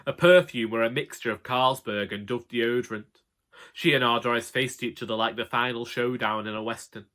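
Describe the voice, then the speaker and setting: A man's voice: mid-range voice, normal speed, bright, forceful tone. A man with a Northern English accent narrating a comic first-person story in a wry, colloquial way. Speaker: audiobook narrator.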